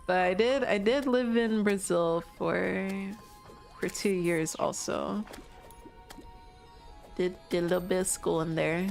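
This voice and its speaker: A woman's voice: lowish voice, slow, gravelly, long pauses, darkish tone, faint music behind. A young American woman streaming a game, chatting casually with her viewers, swearing now and then and laughing often. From United States. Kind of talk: casual gaming livestream chat.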